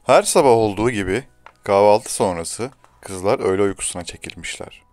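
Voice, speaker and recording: A man's voice: fairly low pitch, normal speed, darkish tone, dry recording. A man reading a scripted fairy tale to children as a storyteller.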